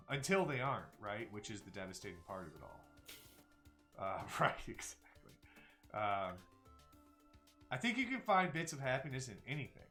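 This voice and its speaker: A man's voice: on the low side, fairly bright tone. A man in his forties hosting a live stream, talking candidly and reflectively in casual chat, often trailing off and restarting. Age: 40s. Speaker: stream host. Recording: faint music behind.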